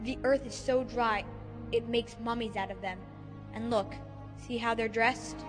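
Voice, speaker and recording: Woman as an earnest, high-voiced young boy. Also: fairly even intonation, unhurried, natural voice. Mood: hushed awe. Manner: earnest, boyish, urgent. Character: earnest young boy, brave, excitable leader of friends. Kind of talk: dramatized audiobook acting. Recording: reverberant hall, loud music underneath.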